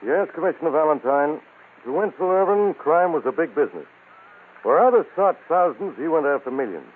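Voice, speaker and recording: Casting: an emphatic ex-police lieutenant storyteller, swooping delivery, tinny old radio sound. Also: male voice, a little high, sing-song, fairly quick, breathy edge. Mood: grave, dramatic. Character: retired police lieutenant recounting a case dramatically. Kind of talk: scripted old-time radio crime narration.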